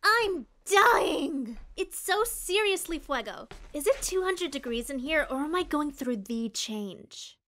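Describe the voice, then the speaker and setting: A woman's voice: high voice, big pitch swings, slow pace, few pauses, a bit bright, full character voice. A woman playing a vain, dramatic, sassy teenage older sister in an audio drama. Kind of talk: fan audio drama acting.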